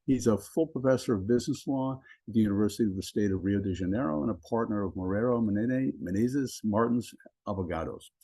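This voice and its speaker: Low, mellow-voiced professor hosting a webinar. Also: male voice, low-quality recording. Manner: measured, with frequent ums and restarts. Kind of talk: webinar introduction. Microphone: video call.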